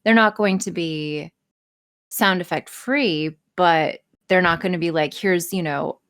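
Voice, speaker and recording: Female podcast guest, lower register, wry and blunt, swears freely in casual chat. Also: measured pace, fairly bright tone. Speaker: podcast guest, audio creator.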